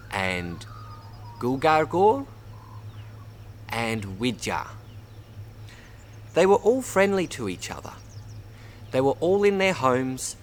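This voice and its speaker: An Australian man reads a children's story aloud in an expressive way, addressing young listeners as a storyteller. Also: medium pitch, slight background noise. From Bundaberg, Queensland, Australia. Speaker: storybook reader. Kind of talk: read-aloud children's story, narration with word teaching.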